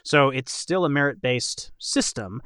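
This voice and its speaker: A man's voice: mid-range voice, expressive, measured pace, a bit bright, clean tone, no echo, clean studio sound. A man hosting a casual podcast panel, talking in a rambling way and qualifying himself as he goes. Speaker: podcast host and voice actor.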